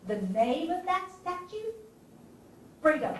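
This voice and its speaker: An echoey, distant stage recording with faint noise carries an actress as an impassioned Southern lady of the Civil War era. Her voice is very high for a woman. She declaims to the audience like a spy confessing her cause, theatrical, with dramatic pauses and pointed questions. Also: sing-song, full character voice. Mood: indignant, sardonic. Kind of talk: stage play monologue addressed to the audience.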